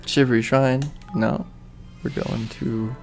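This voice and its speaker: Hoarse guy rambling over his game, gravelly and dark, game music faint behind. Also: medium pitch, little pitch movement, slow pace. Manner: rambling, trailing off mid-thought. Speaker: game streamer. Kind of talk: casual gameplay commentary.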